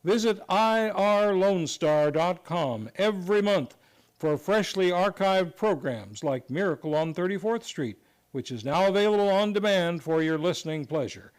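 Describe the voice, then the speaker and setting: A man's voice: high-pitched, animated, moderate pace, clean tone. A man announcing a scripted radio show sign-off in a formal, polished way. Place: live on a theater stage.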